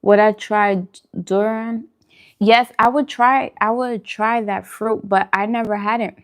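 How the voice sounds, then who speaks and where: A woman's voice: mid-range voice, fairly even intonation, measured pace. Chatty woman hosting a casual livestream. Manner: chatty, friendly, greets viewers affectionately. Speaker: host of a food livestream. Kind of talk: casual livestream chat answering viewer comments, mukbang.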